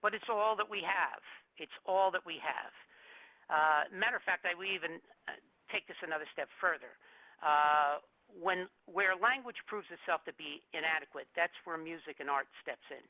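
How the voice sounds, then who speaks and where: A middle-aged man from New Jersey giving a public lecture, talking in a halting way with fillers, restarts and joking asides. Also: a little high, bright, forceful tone, no echo, rough recording. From New Jersey, United States. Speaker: guest lecturer.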